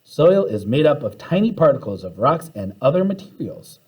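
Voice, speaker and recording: A man's voice: medium pitch, very animated, normal speed, darkish tone, slightly rough. A man voicing an unflappable, upbeat woman schoolteacher in a children's storybook, theatrical and assured. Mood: assured, explaining. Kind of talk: children's storybook read-aloud.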